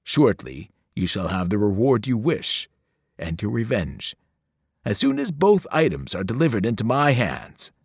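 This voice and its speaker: A man voicing a cold, ancient male monster that speaks from a pit, slow and scornful. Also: fairly low pitch, huge pitch swings, breathy edge, no echo, clean studio sound, exaggerated voice. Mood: menacing. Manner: menacing, scornful, slow and looming.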